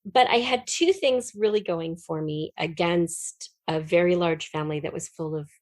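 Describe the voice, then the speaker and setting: Female interview guest, middle-aged, slightly low voice, dry close sound, expressive personal storytelling. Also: moderate pace, no room sound. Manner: expressive storyteller, acts out remembered dialogue.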